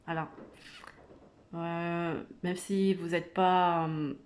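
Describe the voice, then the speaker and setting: An earnest woman speaks in a lowish, level voice. She hesitates often, restarting her sentences and filling the pauses, as she urges her listeners on in an informal religious talk. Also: flat delivery, darkish tone. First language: not Arabic. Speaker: religious video creator. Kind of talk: informal spoken religious exhortation.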